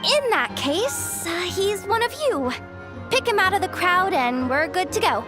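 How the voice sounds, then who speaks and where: An excitable young female guild worker from a video game. Her voice is extremely high and swings wildly with dramatic exclamations. Music plays clearly behind her. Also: measured pace, exaggerated voice. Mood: puzzled. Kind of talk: animated video game voice acting.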